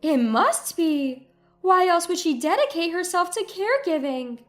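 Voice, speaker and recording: Spiteful older mother-in-law played by a woman, shrill and high, indignant, story narration. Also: animated, unhurried, faint music behind.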